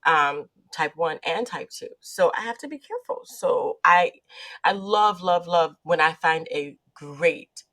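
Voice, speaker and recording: A woman's voice: fairly low pitch, a bit bright. Bubbly, chatty woman podcast host. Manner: bubbly, exclamatory, rambling with restarts. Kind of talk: casual interview chat.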